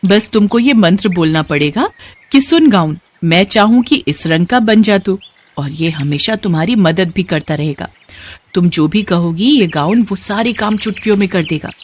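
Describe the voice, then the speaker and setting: A woman voicing a kind, grateful fairy, speaking in a gentle, reassuring and instructive way for a children's story. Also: mid-range voice, animated, normal speed, close to an everyday voice. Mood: kindly instructive. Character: kind, grateful young female fairy granting wishes.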